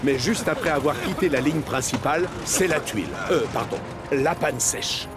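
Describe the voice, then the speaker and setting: A man narrating a children's animated story from a script. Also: on the high side, moderate pace. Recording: music behind the voice.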